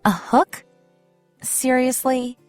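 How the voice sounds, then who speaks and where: A woman's voice: sits high, steady tone, takes it slowly, darkish tone, no room sound, soft background music. A woman playing a sardonic, jumpy young girlfriend in an audio horror drama, bossy and nervy. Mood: skeptical.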